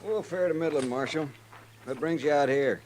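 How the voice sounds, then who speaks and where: An actor playing a folksy small-time rancher with rustic, emphatic speech in a 1950s radio western. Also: male voice, fairly high pitch, moderate pace, darkish tone, a little hiss or hum, lightly acted, poor audio. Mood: casual greeting.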